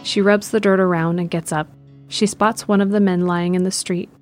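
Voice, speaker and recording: A woman's voice: lowish voice, fairly even intonation, normal speed, soft background music. A woman narrator reading scripted present-tense narration for an audio drama.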